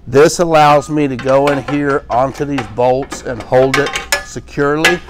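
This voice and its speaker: A man's voice: medium pitch, steady tone, measured pace, very noisy. A middle-aged man teaching a hands-on equipment demonstration in a small workshop, talking casually with fillers and restarts as he works. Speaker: pressure washing instructor. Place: small workshop with tool shelves, indoors.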